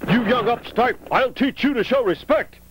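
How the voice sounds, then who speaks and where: A man voicing a hot-tempered, bullying governor's retainer in an animated film, aggressive and demanding. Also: very high-pitched, normal speed, very noisy. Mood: menacing.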